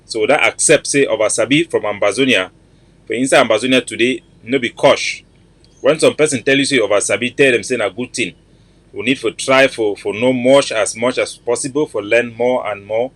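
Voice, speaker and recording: A man's voice: medium pitch, brisk, fairly bright tone, dry recording. A man in his forties with a Cameroonian Pidgin English accent, hosting an online show at home in earnest, repetitive, emphatic talk into a webcam. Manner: earnest, repetitive, emphatic, greets viewers by name. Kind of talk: casual livestream address.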